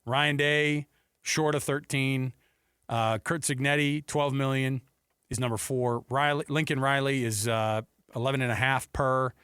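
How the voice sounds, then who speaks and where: A man's voice: mid-range voice, fairly even intonation, a little slow, a bit bright, smooth, dry recording, studio quality. A man hosting a sports radio show with casual, teasing banter. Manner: playful, teasing.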